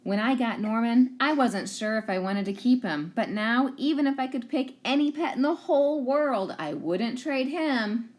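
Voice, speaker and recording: A woman reading a children's storybook aloud in an expressive teacher's style. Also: on the high side, normal speed, hardly any pauses.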